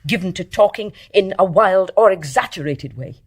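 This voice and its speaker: An actress playing a principled, stubborn English nurse in a period radio drama, speaking precisely and formally with firm insistence. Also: female voice, mid-range voice, animated, normal speed, breathy edge. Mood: grave, insistent. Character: principled, stubborn private nurse making a grave accusation. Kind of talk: 1920s stage play as radio drama.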